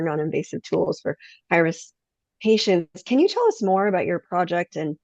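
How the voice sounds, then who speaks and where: A woman hosting an interview, asking polite, measured questions. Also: on the low side, a little mellow, no echo, muffled recording. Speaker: interviewer, awareness ambassador.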